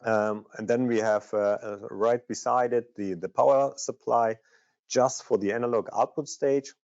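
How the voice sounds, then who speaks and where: Non guy doing product training, dark relaxed voice, bit dull audio, stop and start. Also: mid-range voice, normal speed, no echo. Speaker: product manager presenting. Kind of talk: technical product training presentation.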